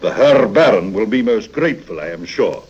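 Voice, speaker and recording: A man playing a brisk, formal police inspector with a German accent in a 1950s radio drama. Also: lowish voice, animated, darkish tone, husky, rough recording. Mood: officiously polite. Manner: formal, officious. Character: brisk, officious Austrian male police inspector.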